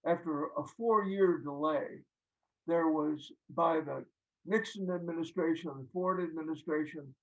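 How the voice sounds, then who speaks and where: A man's voice: on the high side, measured pace, highs cut off. An older man speaking conversationally as a guest, telling stories and explaining things over a video call. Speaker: guest author and singer-songwriter.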